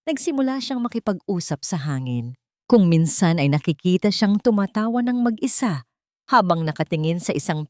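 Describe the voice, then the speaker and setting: A woman narrating a dramatic audio story in a steady, measured way. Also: deep voice, no echo, studio quality.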